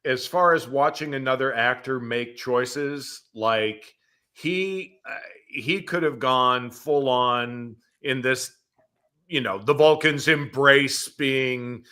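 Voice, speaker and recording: Slow-talking man with a bright, forceful voice. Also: medium pitch. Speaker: podcast guest, actor. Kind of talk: casual chat.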